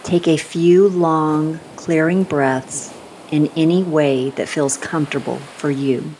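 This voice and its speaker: A woman guiding a scripted meditation in a soothing, unhurried manner, giving gentle instructions with long pauses. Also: low-pitched, fairly even intonation, a little hiss or hum, rough recording. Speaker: meditation guide.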